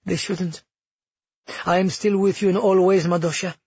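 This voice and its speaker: A young royal woman voiced by a male narrator, high and a little husky, earnest and placating. She sounds anxious and guarded, a schemer pleading her case in a fantasy audiobook. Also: fairly even intonation, moderate pace, no echo, dull audio. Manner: earnest, hushed when shaken.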